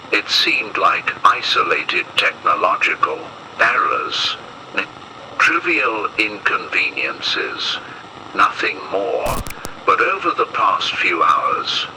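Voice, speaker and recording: A man playing a grave emergency broadcast announcer in an analog horror piece, speaking in a solemn, ominous, deliberate way. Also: sits low, noisy background, exaggerated voice.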